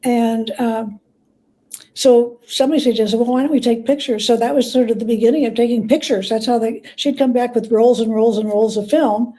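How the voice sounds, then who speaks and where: A woman talking as an interview guest, telling stories in a halting, reflective way with repeated words. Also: a little high, steady tone, warm tone, poor audio. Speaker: guest author and biographer. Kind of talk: conversational interview storytelling.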